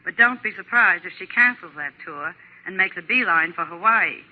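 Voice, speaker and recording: 1940s American gossip columnist. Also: female voice, lowish voice, animated, moderate pace, narrow, tinny audio. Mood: chatty, knowing. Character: brisk, chatty woman Hollywood gossip columnist. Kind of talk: 1940s radio gossip report, scripted. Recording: band-limited 1940s radio recording.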